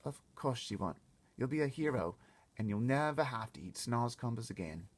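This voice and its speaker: A man's voice: mid-range voice, expressive, moderate pace, slightly rough, voice pushed up. A man voicing a bossy, eager little girl in a storybook read-aloud, explaining things emphatically and confidently. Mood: insistent.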